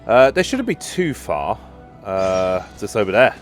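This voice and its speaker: Casual gamer guy with lively pitch. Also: measured pace. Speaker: gaming streamer. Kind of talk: casual gameplay commentary. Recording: game music faintly behind. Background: game music.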